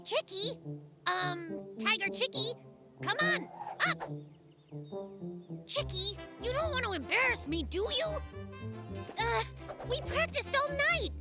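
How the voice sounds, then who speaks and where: A hyperactive young male fox from a kids' cartoon. The voice is extremely high and very animated, with a bossy, showy swagger. Loud music plays behind it. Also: takes it slowly, long pauses, full character voice. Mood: pleading, flustered. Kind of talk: animated children's cartoon acting.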